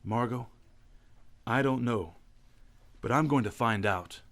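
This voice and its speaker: A man playing a calm, determined gentleman crime-fighter in an old-time radio drama, measured and commanding. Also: medium pitch. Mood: resolute. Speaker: radio actor.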